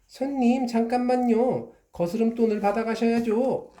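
A man reading a storybook aloud voices an excitable fox who runs a shop, talking with jumpy exclamations that leap into high squeals. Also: measured pace. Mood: alarmed. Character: fussy, excitable fox shopkeeper. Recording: echoey room.

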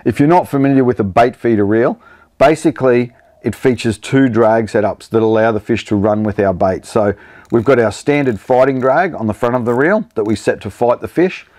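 A man's voice: mid-range voice, moderate pace, darkish tone, no room sound. A man in his forties with an Australian accent, presenting fishing gear in a studio with upbeat, enthusiastic sales patter. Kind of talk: product demonstration. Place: indoor studio set with product table.

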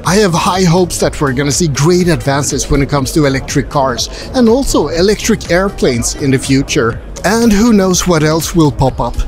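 A man in his forties with a fairly high, very lively voice, chatting upbeat with exhibitors. He talks into a handheld furry mic held close in a busy indoor expo hall. Faint music plays underneath. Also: normal speed, hardly any pauses. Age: 40s. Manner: upbeat, chatty, asks visitors questions. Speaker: travel vlogger. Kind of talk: casual vlog with voice-over narration. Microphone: handheld furry mic close to the face.

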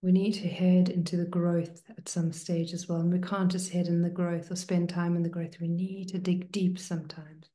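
A woman meditation teacher leading a guided meditation in a hushed, soothing manner, leaving long pauses between short phrases. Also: on the low side, little pitch movement. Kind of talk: guided meditation, slow and spoken to listeners. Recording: roomy, echoing sound.

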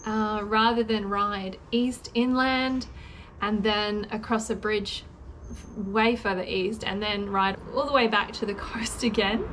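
High-voiced middle-aged woman vlogging over background noise. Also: fairly bright tone. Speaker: travel vlogger. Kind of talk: casual vlog talk to camera and narration. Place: hotel rooms and outdoor train platform. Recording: noisy on-location sound, music under narration.

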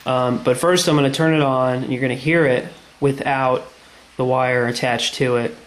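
Man with an even voice, echoey room. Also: mid-range voice, normal speed. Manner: plain, step-by-step explaining. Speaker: tutorial presenter. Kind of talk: casual hands-on how-to demonstration. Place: indoors at a tiled tabletop. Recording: echoey room, background noise.

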